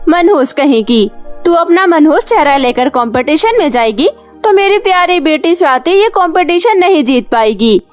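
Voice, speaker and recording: A woman voicing a cruel, scolding aunt in a cartoon, harsh and insulting. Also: high-pitched. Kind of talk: cartoon voice acting. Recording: faint music behind.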